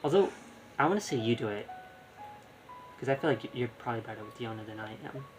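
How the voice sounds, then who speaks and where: A man's voice: medium pitch, fast talker. A young man giving casual live gameplay commentary, rambling and thinking aloud. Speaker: gaming streamer. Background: game music.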